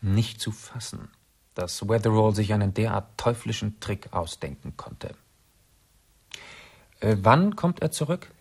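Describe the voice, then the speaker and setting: An actor playing a self-assured, scheming lord in a radio play, husky and commanding. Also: male voice, on the low side, animated, measured pace, long pauses, heavily acted. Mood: confident, planning. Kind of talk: radio drama acting.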